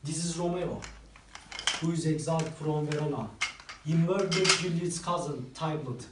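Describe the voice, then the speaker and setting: A man's voice: a little high, moderate pace, noisy background, close to an everyday voice. An actor playing a proud young nobleman who sternly accuses and challenges a rival, in an echoey room from a distance.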